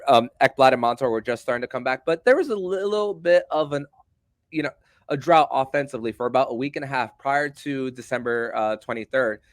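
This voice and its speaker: A man's voice: mid-range voice, lively intonation, fairly quick, no echo, clean studio sound. A man hosting a sports podcast in an emphatic, wordy way. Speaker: podcast host.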